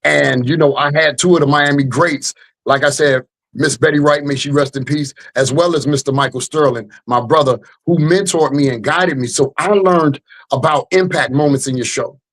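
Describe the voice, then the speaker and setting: A man's voice: fairly high pitch, moderate pace, fairly bright tone, poor audio. An American man, a rap artist being interviewed, speaking conversationally and earnestly, with frequent check-in phrases to the listener. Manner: earnest, punctuates with "you know what I'm saying". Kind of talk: conversational storytelling.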